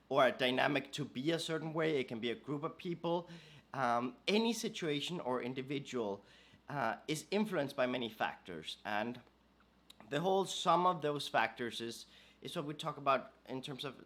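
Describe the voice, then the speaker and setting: A man hosting a podcast, hoarse from a cold, talking casually and hesitantly with many fillers and restarts. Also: a little high, fairly bright tone. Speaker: podcast host.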